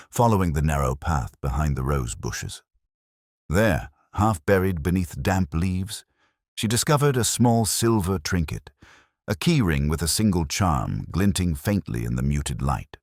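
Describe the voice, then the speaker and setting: A man narrating a scripted mystery story as an audiobook, in a measured, formal storytelling manner. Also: low-pitched, no echo, studio quality.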